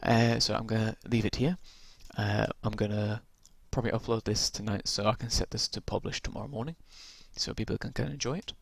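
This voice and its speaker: A man with a low, gravelly voice talks his way through a tabletop game's rules, rambling and restarting as he goes. His voice has turned croaky after a long stretch of talking. The recording is dry and close. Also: fairly even intonation, fairly quick, few pauses, no room sound. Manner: rambling, many ums and restarts. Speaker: tabletop game reviewer. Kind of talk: casual rules walkthrough.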